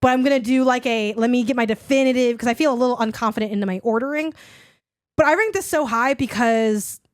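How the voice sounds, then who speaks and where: A young woman with a hoarse voice talks through her picks in a loose, rambling way, leaning on "like" again and again. Her voice sits a little high, and the recording is close and dry with no room sound. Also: fast, dry recording. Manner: rambling, heavy use of "like". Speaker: podcast co-host. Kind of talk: casual chat.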